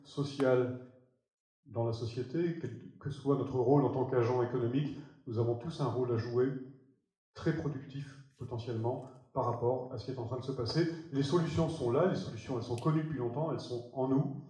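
French guy doing a keynote in a big theater, warm mellow voice, emphatic, echoey hall. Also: medium pitch, steady tone, brisk. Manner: earnest, emphatic, moving around the stage and gesturing. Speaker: keynote speaker. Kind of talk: conference keynote talk. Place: large theater stage. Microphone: headset mic. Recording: roomy hall sound.

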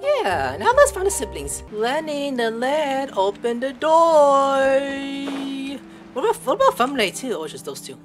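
A woman's voice: high voice, huge pitch swings, unhurried. A woman giving casual, jokey commentary while streaming a video game playthrough. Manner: playful, jokey reactions. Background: video game music.

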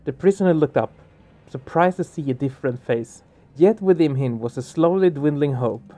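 A man's voice: on the high side, lively intonation, moderate pace, dark tone. A man hosting a let's play, reading game narration aloud and adding casual commentary. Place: small home room, indoors. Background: game music.

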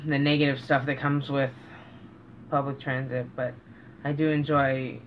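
A middle-aged man speaks slowly and earnestly in a reflective, casual vlog style, often halting mid-sentence. His voice sits at an average pitch. The recording is dull and a bit lo-fi, made in a small room with noticeable background noise. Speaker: vlogger. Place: small cluttered room indoors. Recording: dull, noisy home recording.

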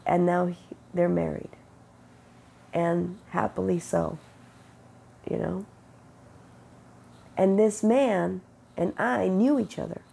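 A middle-aged woman with a cold, her voice deeply gravelly, husky and dark. She speaks slowly and earnestly, sharing faith testimony into a wired earbud mic in a sunlit bedroom. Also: fairly even intonation. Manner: earnest, unhurried, quotes God in conversation. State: has a cold, sniffling and rough-voiced. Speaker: faith vlogger. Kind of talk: casual spiritual testimony. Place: bedroom with bright window, indoors. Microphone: wired earbud mic, webcam close-up.

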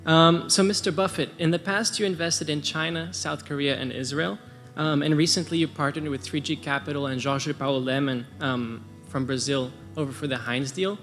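A man's voice: on the high side, fairly even intonation, moderate pace, a bit bright. A young man, a university student, politely asking a prepared question at a stand mic in a large hall. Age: young adult. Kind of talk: formal audience question. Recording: reverberant hall with faint music.

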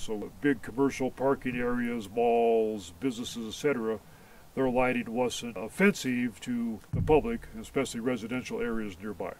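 An older man gives a casual interview account in a medium-pitched voice. He is outdoors and a little distant from the microphone, with faint ambient noise. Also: darkish tone. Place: open snowy field outdoors.

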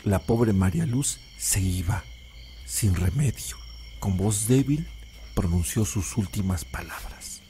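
A man's voice: deep voice, expressive, slow, dark tone, a little hiss or hum. An actor playing an older Mexican man who tells a village legend as a dramatic narrator. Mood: grave. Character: older male storyteller telling a local legend to a child. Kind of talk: dramatic storytelling narration, audio fiction.

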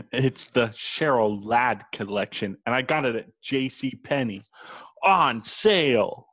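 A man's voice: medium pitch, slow pace, a bit bright. A man playing a sassy, combative comedy character over a video call. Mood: defiant. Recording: dull lo-fi video call audio.

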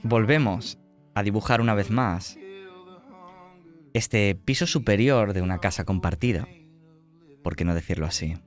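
A man with an accent from Spain, narrating a tabletop roleplaying game as game master in a measured, descriptive way. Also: fairly low pitch. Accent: Spanish (Spain). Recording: music behind the voice.

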